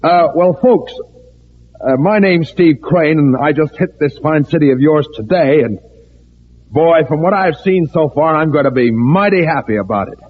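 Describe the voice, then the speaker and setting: An actor playing a folksy, pushy newcomer who speaks haltingly and insistently in an old radio drama. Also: male voice, fairly high pitch, expressive, a little hiss or hum, close to an everyday voice. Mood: folksy, hesitant. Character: folksy, pushy newcomer man stirring up alarm at meeting. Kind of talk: 1940s-50s radio drama acting. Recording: tinny, band-limited old radio recording.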